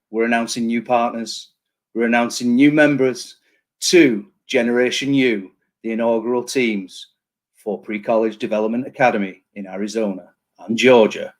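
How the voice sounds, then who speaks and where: A man hosting a casual online interview show, chatty and enthusiastic, with plenty of fillers. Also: medium pitch. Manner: chatty, hypes the guest, filler-heavy. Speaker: show host.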